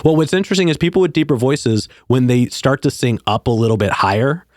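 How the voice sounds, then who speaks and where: A man with a deeper voice hosting a podcast, talking thoughtfully and conversationally and affirming the guests often. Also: brisk, no room sound, studio quality. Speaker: podcast host. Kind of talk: casual interview.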